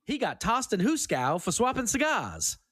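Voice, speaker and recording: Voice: medium pitch, wildly swooping pitch, moderate pace, a bit bright, husky, no room sound, studio quality, voice pushed up, exaggerated voice. A podcast host voicing a snappy, slang-talking pal from the 1940s in punchy, hammy old-time style. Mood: matter-of-fact. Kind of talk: 1940s movie slang acting.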